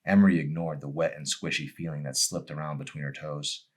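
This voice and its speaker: A man with a low voice presents and reads his own fiction in an audiobook manner. His phrasing is deliberate and dramatic. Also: steady tone, husky. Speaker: author reading own novel. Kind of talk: audiobook-style narration with casual introduction.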